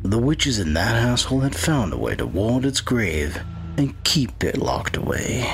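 An actor playing an ancient, menacing undead wight, telling his dark fantasy tale in a brooding, deliberate, theatrical way. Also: mid-range voice, big pitch swings, over music. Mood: cold, calculating. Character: ancient undead body-stealing wight, cold, menacing, patient. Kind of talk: dark fantasy audio drama narration.

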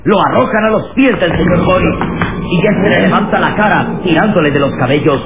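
An actor narrating a vintage adventure radio serial in a solemn, suspenseful, emphatic manner. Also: male voice, sits high, fairly quick, vocal fry, music in the background. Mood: urgent, dramatic. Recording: tinny old radio recording.

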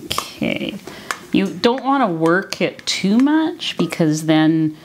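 A woman's voice: fairly low pitch, sing-song, slow pace, background noise. An older woman, around her sixties, casually explaining as she demonstrates cooking in a conversational way. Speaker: cooking video host. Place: home kitchen, indoors.

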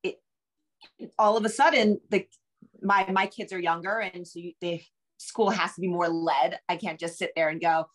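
A woman's voice: lowish voice, steady tone, moderate pace. A woman in her forties giving conversational interview answers as a guest author on a video call. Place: home room with bookshelves, indoors.

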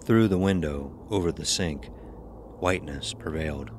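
A man's voice: very deep voice, normal speed, noisy background. A man narrating a scripted horror story, with ambience behind him.

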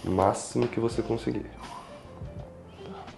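A man's voice: medium pitch, steady tone, a little slow, gravelly, darkish tone. A young Brazilian man working as a chiropractor, giving a patient reassuring instructions and explaining as he goes. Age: young adult. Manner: reassuring, checking in often. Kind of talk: instructing a patient during treatment, explaining to camera. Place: small indoor treatment room with large windows. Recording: roomy echo, faint music behind.

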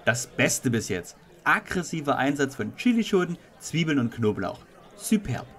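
Pompous male food connoisseur for a comic tale, acted by a man, very lively swooping intonation. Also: medium pitch, normal speed, a faint crowd. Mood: approving. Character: fussy, appreciative male chili connoisseur judges.

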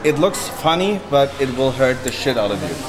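A man's voice: mid-range voice, big pitch swings, brisk, a crowd in the background. A man, joking, cursing and coaching a training partner in a large indoor gym. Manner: cheerful, cursing, bantering coach. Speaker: bodybuilder vlog host. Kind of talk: casual gym chat and coaching. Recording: echoey gym room.

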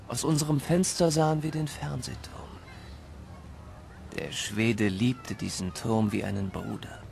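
A man playing a grown orphan who wistfully narrates his boyhood in a German audio drama, measured and reflective. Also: mid-range voice, vocal fry, slight background noise.

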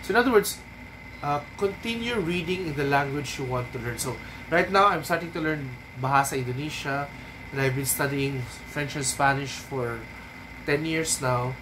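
A man in his thirties from the Philippines with a Filipino accent, a Cebuano native speaker, giving unhurried writing advice to a webcam in a small room at home. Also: fairly high pitch, noisy background. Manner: unhurried, thoughtful, frequent ums and tag "no?". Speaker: writing coach. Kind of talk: casual advice talk to camera. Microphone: webcam at desk.

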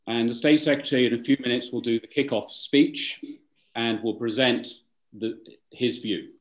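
A man's voice: medium pitch, rough recording. Formal man moderating a video call. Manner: formal, halting, with restarts and fillers. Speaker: webinar moderator. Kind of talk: formal welcome and introductions.

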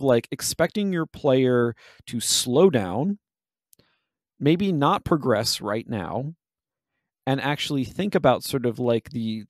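A man's voice: mid-range voice, no room sound, clean studio sound. A man hosting a podcast, talking through game analysis in a wordy, analytical way and often rephrasing himself mid-sentence. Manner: analytical, wordy, hesitant self-interrupting phrasing. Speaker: podcast host.

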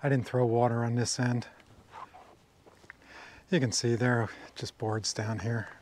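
A man's voice: mid-range voice, little pitch movement, a little slow, darkish tone, slight background noise. A middle-aged man running a sawmill outdoors, giving casual running commentary to a camera on the mill as he works, musing and admiring the wood. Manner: musing, talks to himself, admiring asides. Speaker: sawmill operator. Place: outdoors at a sawmill in a wooded yard. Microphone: action camera on the mill.

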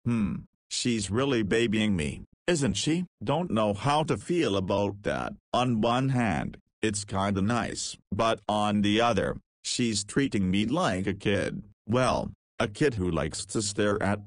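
A man's voice: lowish voice, animated, slow, few pauses, dry recording, studio quality, exaggerated voice. An actor playing a cheeky, laid-back young man of nineteen in a visual novel, talking in a casual, wry way. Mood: musing. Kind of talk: visual novel voice acting with inner monologue.

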